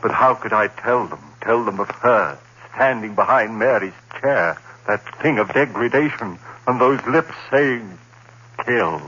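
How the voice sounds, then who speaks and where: A man's voice: lowish voice, sharp tone, breathy edge, exaggerated voice. Haunted man, intense, dull old radio sound. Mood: brooding dread. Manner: dramatic, brooding, halting delivery. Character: troubled, haunted man narrating his own descent into dread. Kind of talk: old-time radio horror drama acting. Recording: dull old radio recording with hiss and hum.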